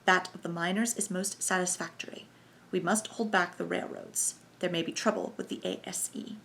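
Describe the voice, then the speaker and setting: A woman voicing a confident German conspirator with a clear voice and a brisk, businesslike manner. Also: high-pitched, steady tone, pushed and bright, slight background noise, exaggerated voice.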